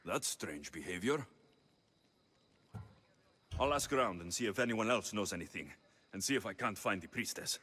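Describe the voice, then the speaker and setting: An actor playing a plain-spoken heroic mercenary in a video game, with a direct, questioning manner. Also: male voice, fairly low pitch, animated, moderate pace, pauses often, sharp tone, a little hiss or hum. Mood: concerned. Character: heroic Greek mercenary, plain-spoken, helpful, quick to exasperation. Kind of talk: video game cutscene acting.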